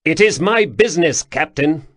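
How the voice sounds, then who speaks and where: An imperious young prince, voiced by a man, commanding and clipped, with an animated swing in his delivery. The sound is dry and close. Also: fairly high pitch, moderate pace, no echo, voice pushed up.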